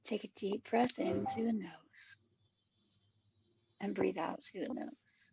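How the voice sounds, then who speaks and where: A woman narrating a guided meditation with soothing, measured instructions. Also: mid-range voice, creaky, background noise.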